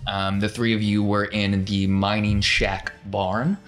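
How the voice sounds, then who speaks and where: A man's voice: lowish voice, level tone, unhurried, fairly bright tone, faint music behind. Man hosting a tabletop game podcast. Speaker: podcast host and game master. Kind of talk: podcast intro and casual game-table talk.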